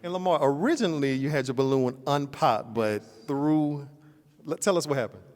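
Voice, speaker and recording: A man's voice: medium pitch, normal speed, a little mellow. A man hosting a dating game show, upbeat and keeping things moving on a handheld mic. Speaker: host. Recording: echoey hall.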